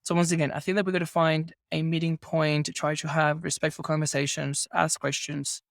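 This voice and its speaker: A man from Venezuela hosting a podcast interview, talking in a thoughtful, measured way with a lot of careful phrasing. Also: a little high, flat delivery, vocal fry, dry recording, clean studio sound. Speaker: podcast host. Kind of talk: casual interview conversation.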